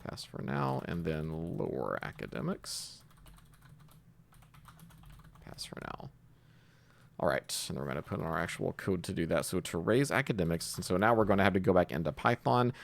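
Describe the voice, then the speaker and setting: Male tutorial host, low gravelly voice, informal step-by-step explaining, thinking aloud at the keyboard. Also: animated, moderate pace, pauses often, a little hiss or hum. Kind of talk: casual screencast coding tutorial, explaining while typing.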